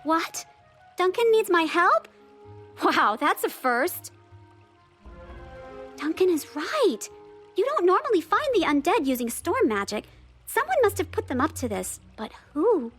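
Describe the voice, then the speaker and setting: An earnest young witch in a fantasy game, with a very high girlish voice that moves up and down a lot. She is dramatic and emotive, over soft music. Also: sing-song, a little slow, pauses often, heavily acted. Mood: puzzled. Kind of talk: video game character acting.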